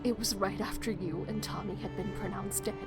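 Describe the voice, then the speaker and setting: A woman's voice: mid-range voice, fairly even intonation, fairly quick, loud music behind the voice, lightly acted. A woman playing a guilt-ridden, defiant young rich heiress in an audio drama, intense and emotional. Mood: subdued, regretful.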